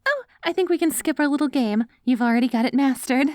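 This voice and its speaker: A woman's voice: sits high, quick pace, a little mellow, dry recording, clean studio sound, natural voice. A woman playing a devoted, hopeful wife tenderly coaxing her zombie husband in an ASMR audio roleplay. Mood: tender, encouraging. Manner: tender, coaxing, speaks directly to a loved one.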